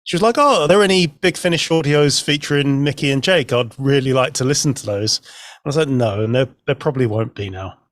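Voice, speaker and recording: Young guy on a podcast, medium pitch, casual storytelling, no room echo. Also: a little fast, fairly bright tone, studio quality. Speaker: podcast panelist. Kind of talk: casual chat, anecdotal.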